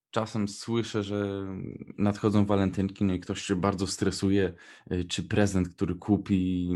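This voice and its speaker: A man's voice: sits low, darkish tone. A young man co-hosting a radio show in casual chat, with informal, hesitant phrasing. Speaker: radio co-host.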